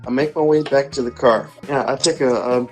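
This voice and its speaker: A man's voice: medium pitch, a little fast. Guy player, middle voice, lots of uhs, faint music behind. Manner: hesitant, filler-heavy. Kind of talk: playing a character in a tabletop game.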